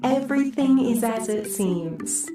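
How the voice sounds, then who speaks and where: A woman's voice: a little high, expressive. A woman playing a serene, eerily soothing theme-park radio announcer in a game, reassuring and hypnotic in her scripted messages. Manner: slow, reassuring, repetitive, hypnotic. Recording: roomy echo under loud organ music. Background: loud organ music.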